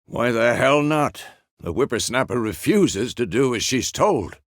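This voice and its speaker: An actor playing a gruff, stern but fatherly old monster hunter in a video game, with a gravelly voice and a terse, weary, commanding manner. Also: medium pitch, lively intonation, a little slow, no echo, studio quality, lightly acted. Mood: stern, grumbling. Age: older. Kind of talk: dramatic game character dialogue.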